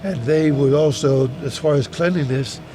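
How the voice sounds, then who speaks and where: A man in his eighties from Hungary, a native German speaker, recalls his life in a halting, repetitive, plain-spoken way, as in an oral history interview. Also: on the high side, background noise. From Hungary, German-speaking household. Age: 80s. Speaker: retired teacher recounting his life. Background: music under the voice.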